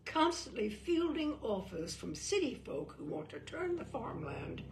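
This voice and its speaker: A woman reading a humorous book aloud in a theatrical, deadpan way, with dramatic emphasis and pauses. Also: fairly high pitch, creaky, slight background noise. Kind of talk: theatrical read-aloud of dry comic prose.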